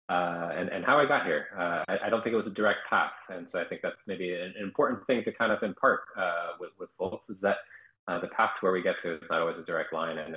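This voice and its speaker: Deep-voiced guy, echoey room, keeps restarting his sentences, interview guest. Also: dull audio. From South Florida. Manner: hesitant, many restarts and fillers. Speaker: guest entrepreneur. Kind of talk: interview answers.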